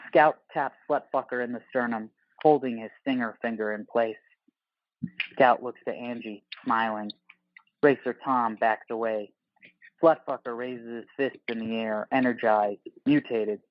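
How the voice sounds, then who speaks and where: A male narrator with a medium-pitched voice reads action lines for an audio drama. His phrasing is clipped, deliberate and dramatic, close and dry, with a slightly dull sound. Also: fairly even intonation, no echo. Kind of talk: scripted narration of screenplay directions.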